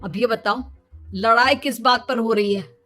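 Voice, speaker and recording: A woman's voice: fairly high pitch, measured pace, fairly bright tone, faint music behind. A woman voicing a weary, exasperated young husband who pleads and complains in a children's cartoon. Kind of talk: Hindi children's cartoon dialogue.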